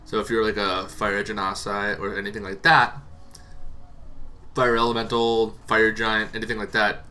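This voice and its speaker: A man hosting a tabletop roleplaying show, explaining casually and off the cuff in a rambling, halting way that repeats words. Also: lowish voice, completely flat delivery, bright, forceful tone. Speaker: host of a tabletop roleplaying monster lore show. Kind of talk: casual unscripted explainer to camera.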